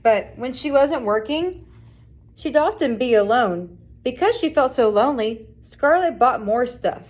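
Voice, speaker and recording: A woman with a fairly high voice narrates a children's storybook. She is reading aloud from a scripted tale meant for young listeners. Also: animated, measured pace. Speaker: storybook reader.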